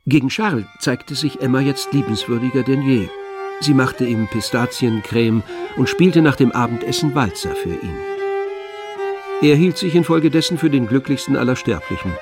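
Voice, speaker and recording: An actor playing the detached, wry narrator of a literary radio play, with a gravelly voice. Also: male voice, medium pitch, expressive, normal speed, music in the background, natural voice.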